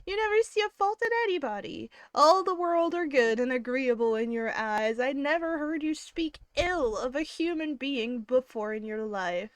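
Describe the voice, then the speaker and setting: A woman voicing a lively, witty young woman with quick, teasing emphasis while reading a classic novel aloud. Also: sits high, clean tone, no echo.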